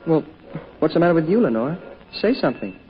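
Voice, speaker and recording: An actor playing an eager, earnest young American son in an old-time radio drama, boyish and a little bashful, with a stammering manner. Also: male voice, fairly high pitch, sing-song, fast talker. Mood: eager, teasing. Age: young adult. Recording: dull, hissy old radio recording.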